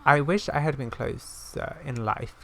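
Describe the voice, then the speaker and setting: A man reading aloud a solemn fantasy game elder who remembers a lost friend, in an earnest, measured, halting way. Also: mid-range voice, creaky. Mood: solemn, wistful.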